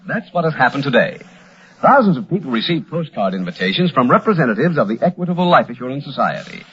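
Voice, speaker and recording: A man with an American accent announcing in formal, emphatic, polished 1940s radio style as a sponsor's pitchman, on an old broadcast recording. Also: breathy edge, highs cut off.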